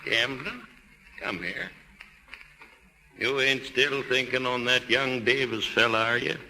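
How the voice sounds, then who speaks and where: An actor playing a gruff but tender older American country uncle, hesitant and rustic, in 1930s radio drama style. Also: male voice, medium pitch, slow pace. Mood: disapproving. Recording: noisy old 1930s radio broadcast.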